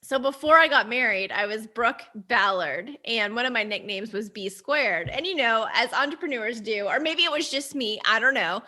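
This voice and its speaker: A woman's voice: fairly high pitch, animated, moderate pace, few pauses, pushed and bright. A middle-aged woman with a youthful, girlish voice, talking conversationally as an interview guest. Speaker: interview guest, agency founder.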